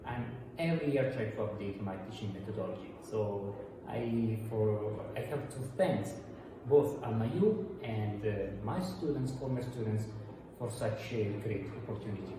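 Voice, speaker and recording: A man's voice: lowish voice, normal speed, noisy background. A man from Italy with an Italian accent, a university dean answering interview questions with many hesitations and restarts. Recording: echoey hall, voice from far off.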